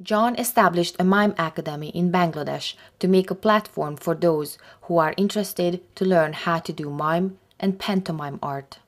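A woman reading scripted documentary narration in a measured, even way. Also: fairly low pitch, faint music behind.

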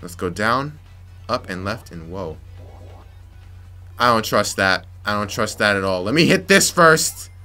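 A young man commentating his gameplay, frustrated and muttering to himself with sudden yelps, slightly raspy from yelling. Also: on the low side, animated, unhurried, long pauses. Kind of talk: let's play commentary. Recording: faint game music behind.

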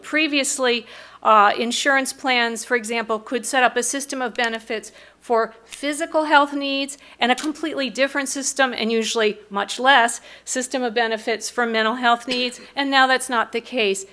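A middle-aged woman with an American accent gives an explanatory prepared presentation at a podium microphone, pausing hesitantly at times. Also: on the high side, bright, forceful tone. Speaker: mental health board member presenting to city council. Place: large council meeting room, indoors.

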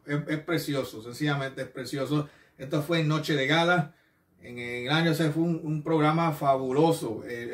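A middle-aged man with a Puerto Rican accent giving earnest, effusive casual commentary as a video host, often halting and repeating words as he searches for the next. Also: mid-range voice, a bit bright. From Puerto Rico. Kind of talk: casual unscripted commentary to camera. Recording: roomy echo, distant microphone.

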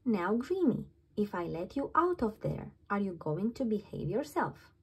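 A woman's voice: mid-range voice, very animated, unhurried, darkish tone, husky, exaggerated voice. A woman voicing an eager, bossy young girl puppy who plays at being mum, in a storybook reading.

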